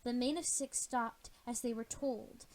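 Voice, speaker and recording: A woman's voice: fairly high pitch, steady tone, measured pace, a little mellow. A woman narrating an amateur audio play, reading scripted story narration.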